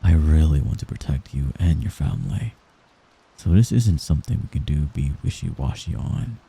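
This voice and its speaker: A man playing a young mafia heir in an intimate ASMR roleplay, a gentle, hesitant and possessive friend who gives orders apologetically. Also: a bass voice, very animated, gravelly, husky, heavily acted. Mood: earnest, cautious. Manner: soft-spoken, halting, apologetic while giving orders.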